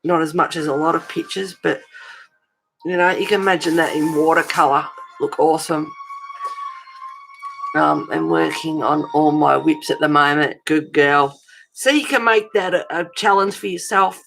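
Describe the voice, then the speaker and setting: A woman with an Australian accent and a creaky voice, chatting informally and encouragingly as the host of a casual live stream, rambling a little. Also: deep voice, steady tone, moderate pace, fairly bright tone, soft background music, rough recording. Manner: rambling, informal, encouraging, addressing viewers as guys.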